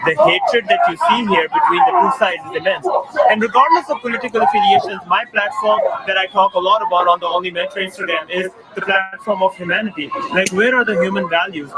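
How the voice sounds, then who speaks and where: A man in his forties from Pakistan, a physician, speaking in a measured, articulate way in an interview at an outdoor rally. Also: high voice, very animated, over a noisy crowd, low-quality recording. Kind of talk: street interview. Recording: loud street noise.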